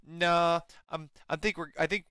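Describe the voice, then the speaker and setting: A man's voice: fairly high pitch, fairly even intonation, a little slow, sharp tone, clean tone, no echo, clean studio sound. A man co-hosting with casual banter and a wry, joking way of talking. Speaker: co-host.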